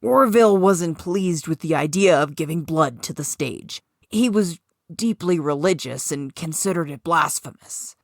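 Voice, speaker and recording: Female narrator for supernatural fiction, scripted read, lower register, very dry recording. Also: expressive, measured pace, no room sound. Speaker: author reading own short story.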